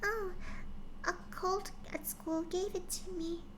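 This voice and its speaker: A woman voicing a timid young female pony, speaking softly in a high, whimpering voice. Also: fairly even intonation, slow pace, a little hiss or hum. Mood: shy. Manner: soft, whimpering, quiet.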